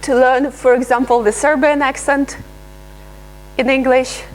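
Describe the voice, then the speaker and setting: A woman researcher giving a live software demonstration, pausing often with fillers and restarting her sentences. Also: high-pitched, little pitch movement, slight background noise, low-quality recording. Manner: hesitant, many filler sounds and restarts. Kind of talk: live software demo talk.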